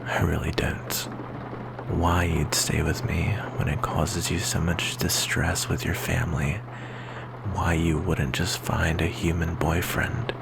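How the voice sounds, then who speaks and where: A deep, heavily creaky male voice , an actor playing an insecure, devoted vampire boyfriend in an intimate ASMR roleplay. He half-whispers at a slow, hesitant pace, soft and melancholy. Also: little pitch movement, noisy background. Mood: tender, puzzled. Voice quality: whispery, gravelly.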